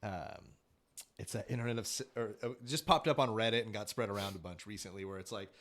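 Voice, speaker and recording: A man's voice: lowish voice, fast, fairly bright tone. Male co-host, loose and rambling, casual swearing, jokey. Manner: rambling, swears casually, laughs at own jokes. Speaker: livestream co-host. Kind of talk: casual livestream chat.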